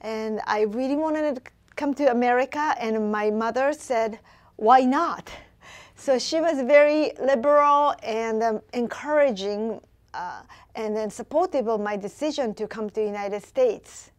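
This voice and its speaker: A woman's voice: a little high, a little slow. Japanese woman, earnest and hesitant. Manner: earnest, hesitant with many fillers. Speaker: local businesswoman. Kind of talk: interview answers.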